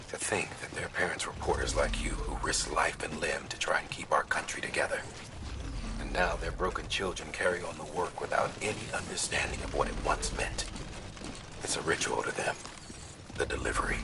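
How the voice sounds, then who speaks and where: A man acting a grave, ominous game character who briefs a porter in a breathy, deliberate, dramatic voice. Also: a bass voice, noisy background. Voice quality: breathy, whispery.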